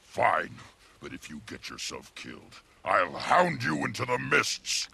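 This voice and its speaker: A man playing a gruff, fierce beastly warrior in a video game, with a gravelly growl. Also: lowish voice, wildly swooping pitch, a little slow, sharp tone, exaggerated voice. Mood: gruff grudging. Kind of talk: video game cutscene acting.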